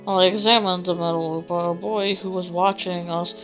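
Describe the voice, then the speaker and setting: A woman's voice: on the low side, fairly even intonation, fairly quick, a bit bright, poor audio. A woman streaming a game, reading a visual novel aloud with informal, rambling asides. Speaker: gaming streamer. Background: game music.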